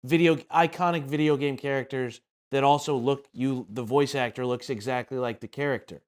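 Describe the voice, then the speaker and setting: A man with a medium-pitched voice hosts a podcast interview. He sets up his questions conversationally and keeps the style casual. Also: steady tone, normal speed. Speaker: podcast host.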